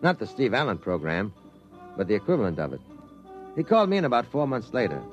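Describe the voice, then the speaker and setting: A man's voice: lowish voice, wildly swooping pitch, fast, dull audio, soft background music. An actor playing a wry, skeptical male reporter who also narrates, in a 1950s radio drama. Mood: narrating.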